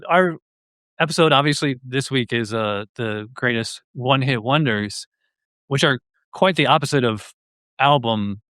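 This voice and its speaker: A man's voice: medium pitch, a little slow, fairly bright tone, no room sound, clean studio sound. A man hosting a podcast in a casual, conversational style. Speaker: podcast host.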